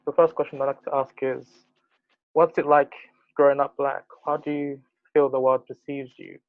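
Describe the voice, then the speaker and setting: A man's voice: medium pitch, flat delivery, normal speed, phone-quality sound, background noise. A man hosting a casual discussion, putting questions to guests over a thin video-call connection. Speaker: host.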